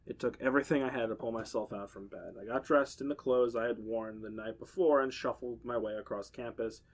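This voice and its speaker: A man's voice: mid-range voice, steady tone, fairly quick. A man on a podcast reading part of a horror story aloud, with occasional quips. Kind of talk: casual reading with banter.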